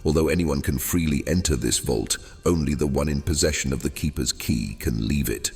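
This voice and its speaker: A man playing an old, fading captive djinn in a fantasy audio drama, courteous and wheedling, with silky theatrical delivery and hesitant pauses. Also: a bass voice, creaky, darkish tone, husky, exaggerated voice. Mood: coaxing. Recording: long cavernous reverb, music underneath. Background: music.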